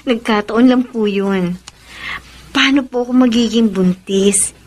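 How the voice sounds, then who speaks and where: An actress playing a soft-hearted young woman, sincere and emotive, in a Filipino radio romance drama. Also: fairly high pitch, measured pace. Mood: defensive. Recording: music bed behind the voice.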